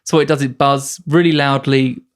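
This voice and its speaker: A man's voice: medium pitch, steady tone, fairly quick, a little hiss or hum. Wry man explaining on a podcast. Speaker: podcast presenter telling the researched story. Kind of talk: casual comedic explainer chat.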